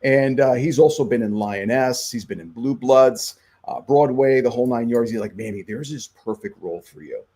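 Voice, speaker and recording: A man's voice: medium pitch, normal speed, hardly any pauses, slightly rough. A middle-aged man, an actor and touring musician, talking earnestly in a casual radio interview. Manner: earnest, animated, says "man" and "you know" a lot.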